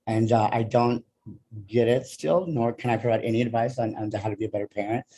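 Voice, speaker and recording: Halting young man moderating a panel. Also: medium pitch, fairly even intonation, mellow. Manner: halting, full of ums and restarts. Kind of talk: hosting an online panel.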